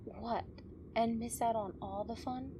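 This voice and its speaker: Woman playing a hurt but stubborn sarcastic fighter, breathy, takes her time, audio drama, noisy background ambience. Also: a little high, steady tone, unhurried, lightly acted. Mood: wry. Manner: sardonic, often hushed and breathy. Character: injured, stubborn, wry tough young woman vigilante. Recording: clear background ambience noise.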